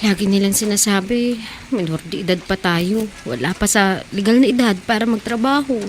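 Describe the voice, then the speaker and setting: A woman's voice: medium pitch, normal speed, a little hiss or hum, close to an everyday voice. An actress playing a poor teenage runaway girl, caring and hopeful, in a Filipino radio drama. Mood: resigned.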